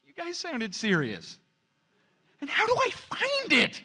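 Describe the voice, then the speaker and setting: Man, bright high voice, theatrical playful improviser. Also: wildly swooping pitch, slow. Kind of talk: playful improvised character banter.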